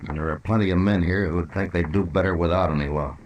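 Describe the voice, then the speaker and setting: Deep low man acting as a gruff old west lawman, terse n dry, old radio show w/ some hiss. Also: steady tone, fast talker, dull audio, lightly acted. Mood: firm, dry. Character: stoic, weary, authoritative frontier town marshal. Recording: noisy vintage radio drama recording.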